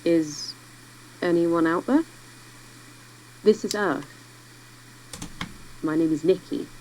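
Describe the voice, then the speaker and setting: A woman's voice: lowish voice, slow, darkish tone, slight background noise, rough recording. A woman playing a lone person radioing out from Earth in an audio drama, calling out repeatedly in a projected voice. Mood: searching, calling out.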